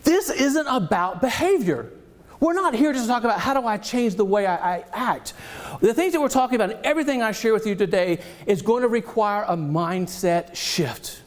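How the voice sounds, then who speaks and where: A man with a Southern US accent delivers a motivational keynote with lively energy. His voice sits notably high for a man, bright and bouncing as he jokes with the crowd. He speaks from a conference stage. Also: moderate pace. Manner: energetic, animated, joking with the audience.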